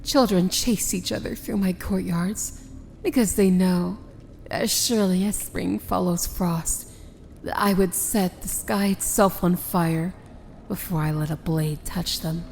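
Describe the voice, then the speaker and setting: A commanding evil princess , played by a woman, speaks in a dark, velvety soft voice that often sinks toward a whisper. She is unhurried and formal, proud yet tender, in a fantasy ASMR drama with faint ambient noise behind her. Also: on the low side, fairly even intonation, creaky. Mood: proud, menacing calm. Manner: measured, regal, deliberate, formal. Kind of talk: ASMR fantasy romance roleplay, dramatic monologue.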